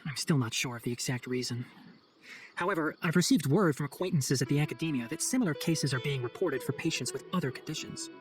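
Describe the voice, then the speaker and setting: A man's voice: a little high, quick pace, faint music behind, natural voice. An actor playing an earnest, scholarly young male forest ranger in a video game cutscene, speaking formally and explaining things with composure. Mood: earnest, grave.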